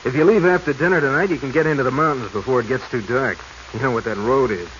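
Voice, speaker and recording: Actor as scheming treasure hunter, muffled radio. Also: male voice, medium pitch, fast talker, a bit bright, close to an everyday voice. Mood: urging. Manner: evasive, stammering when cornered, bossy. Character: scheming, nervous male treasure hunter hiding guilt. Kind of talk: 1940s radio acting. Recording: muffled, hissy 1940s radio recording.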